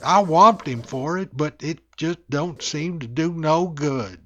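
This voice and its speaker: A man playing an apologetic, slow-witted father with a folksy drawl in a radio comedy sketch. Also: fairly high pitch, bright, forceful tone. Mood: sorry, resigned.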